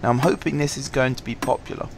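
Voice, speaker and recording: A man with a sore throat, slightly husky, casually commenting on a game through a headset, rambling and apologetic with many ums. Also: mid-range voice, quick pace, noisy background. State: sore throat, fighting coughs. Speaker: gaming channel host. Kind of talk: casual let's-play commentary.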